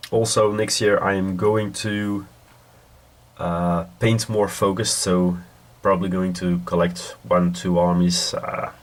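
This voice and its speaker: A man from Belgium with a nasal voice from a cold, giving a casual, rambling hobby update in a halting, hesitant way. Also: sits low. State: has a cold. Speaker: hobby video host.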